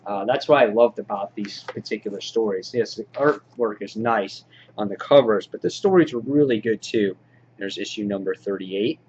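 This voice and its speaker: A man giving an informal, halting show-and-tell commentary as a hobby vlog host, in an understated way. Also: lowish voice, darkish tone. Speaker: vlog host showing a comic book collection. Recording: dull lo-fi audio, clear background noise.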